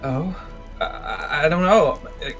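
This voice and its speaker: A man's voice: on the high side, big pitch swings, measured pace, vocal fry, heavily acted. A man playing a nervous, confused young guild mage in improvised game roleplay, hesitant and stammering over his words. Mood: flustered. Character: nervous, confused, unsure young time-magic guild member. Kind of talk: improvised Minecraft roleplay acting. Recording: background music under voices.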